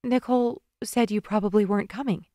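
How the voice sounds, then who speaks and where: A woman's voice: fairly even intonation, a little fast, no echo, clean studio sound, natural voice. A woman doing a puzzled easygoing young man. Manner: lowered, softer voice.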